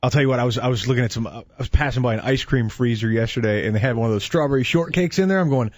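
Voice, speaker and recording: A man's voice: mid-range voice, racing, a little mellow, dry recording. A man co-hosting a radio show in casual banter, with a wry, questioning way of talking. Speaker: radio co-host.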